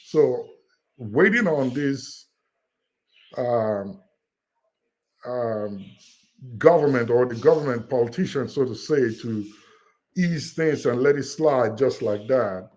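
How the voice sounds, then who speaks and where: A man from Nigeria with a Nigerian accent, calling into a discussion show and making his case in an earnest, measured way, with frequent fillers. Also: mid-range voice, a little mellow, low-quality recording. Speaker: call-in guest. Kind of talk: casual discussion.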